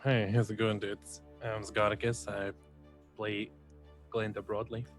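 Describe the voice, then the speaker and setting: Male player, laid-back and wry, slow pace, light music bed. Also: fairly low pitch, little pitch movement. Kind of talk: casual tabletop chat.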